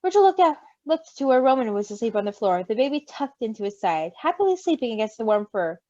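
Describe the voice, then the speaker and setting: Lady doing an expressive storytime read, really lively pitch, close mic, slightly muffled. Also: fast, no room sound. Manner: animated, giggly. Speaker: story reader.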